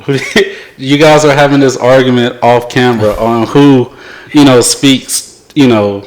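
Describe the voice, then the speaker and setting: A man hosting a podcast in casual chat, talking in a loose, unhurried way with frequent fillers. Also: mid-range voice, fairly even intonation, darkish tone, low-quality recording. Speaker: podcast host.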